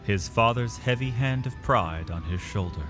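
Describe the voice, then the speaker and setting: A man's voice: lowish voice, expressive, normal speed, over music. A man narrating a fantasy audio drama from a script in a solemn, intense storytelling manner.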